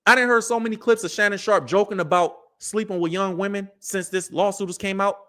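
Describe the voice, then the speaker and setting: A man's voice: sits high, fairly even intonation, fairly quick, pushed and bright. A man in his thirties, hosting a commentary show, lecturing his listeners in a preachy, slang-filled way. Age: 30s. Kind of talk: animated live commentary.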